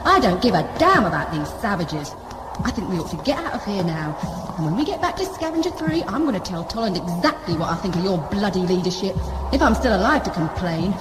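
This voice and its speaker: A woman's voice: medium pitch, big pitch swings, brisk, noisy background, lightly acted. A woman acting in an audio drama as a sarcastic, combative female convict on a scavenger crew. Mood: alarmed, angry. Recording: echoey studio drama with music underneath.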